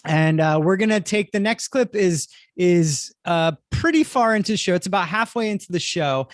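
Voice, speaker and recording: A man with a notably high voice hosts a live panel discussion. He explains things to the audience with enthusiasm. The sound is dry, with little room around him. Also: expressive, moderate pace, dry recording. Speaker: host of a theatre panel.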